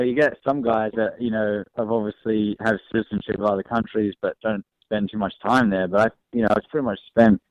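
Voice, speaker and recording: A man's voice: on the low side, dry recording. A young man with a partly Australian, partly American accent, speaking as a racing driver on a phone-in radio interview, easygoing and laughing often. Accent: mixed Australian and American. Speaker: race car driver guest. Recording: dry, dull phone-line sound.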